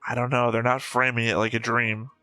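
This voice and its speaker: Bright-voiced man giving casual reaction commentary. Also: medium pitch, flat delivery, a little fast, no echo, faint music behind, rough recording. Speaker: reaction show co-host.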